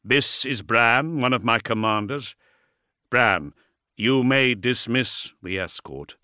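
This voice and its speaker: Man acting a commanding, forceful warlord. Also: medium pitch, slow pace, no room sound, studio quality. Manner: authoritative, formal. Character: towering male warlord, grave, commanding, measured.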